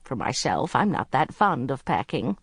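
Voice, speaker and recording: A woman voicing a calm, shrewd older woman who speaks mildly and gently. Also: very deep voice, breathy edge, dry recording, exaggerated voice. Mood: gentle. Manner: mild, gentle, unhurried.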